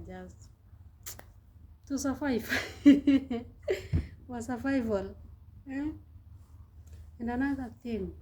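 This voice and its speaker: Woman from Kenya, young adult, casual vlog, sweating in the heat, chatty, mellow voice. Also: on the high side, slight background noise, low-quality recording. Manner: chatty, repeats and restarts phrases, laughs often. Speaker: vlogger. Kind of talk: casual vlog talk to camera. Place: hot room in the Gulf.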